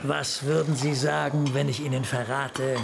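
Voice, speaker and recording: Actor as gravelly flirtatious homicide cop. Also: male voice, medium pitch, little pitch movement, moderate pace, music in the background, lightly acted. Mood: flirty, probing. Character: flirty male homicide cop, courteous while questioning witnesses. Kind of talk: German radio play dialogue.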